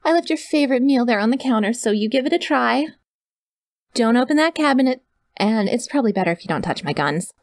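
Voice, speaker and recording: A woman's voice: fairly high pitch, animated, fairly quick, no room sound. A woman playing a sweet, awkward, clingy young yandere kidnapper in an ASMR audio roleplay, rambling and gushing straight to the listener. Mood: excited, doting.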